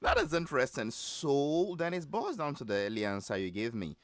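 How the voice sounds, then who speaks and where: Male radio host, low gravelly voice, teasing and jokey, dry close mic. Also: sing-song, a little fast, no room sound. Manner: playful, teasing, laughs often. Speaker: radio entertainment show host. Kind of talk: radio talk show hosting. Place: radio studio. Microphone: studio microphone.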